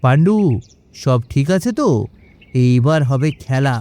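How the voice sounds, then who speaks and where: Man playing a cocky, lively village schemer. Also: mid-range voice, unhurried, smooth, lightly acted. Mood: scheming glee. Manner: boastful, wheedling, dismissive. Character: cocky, scheming village trickster man chasing quick money. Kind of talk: animated cartoon dialogue.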